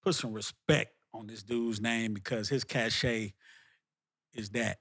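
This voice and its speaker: A man's voice: fairly low pitch, steady tone, a little slow, fairly bright tone, slightly rough. An American man hosting a podcast in casual talk, slangy and emphatic, often repeating himself. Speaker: podcast host. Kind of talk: casual chat and show sign-off.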